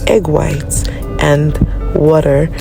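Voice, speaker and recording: A biology teacher, a woman with a Nigerian accent, hoarse and raspy from a flu, narrating a lab practical in a chatty, apologetic way. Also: low voice, steady tone, extremely slow, rough recording. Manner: chatty and apologetic, with many fillers. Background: background music.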